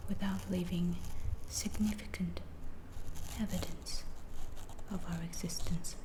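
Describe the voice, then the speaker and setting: Woman playing calm female alien scientist, soft breathy whisper, very slow measured delivery, ASMR roleplay, clearly noisy recording. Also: on the low side, lightly acted. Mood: calm, clinical. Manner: slow, measured, instructional, detached. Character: calm, clinical female alien scientist examining an abducted human.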